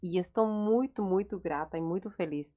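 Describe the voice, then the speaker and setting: A woman's voice: on the low side, moderate pace, dull audio. A woman with a regional accent co-hosting a psychology podcast, speaking encouragingly in a casual conversation. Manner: warm-hearted, encouraging, lots of "né".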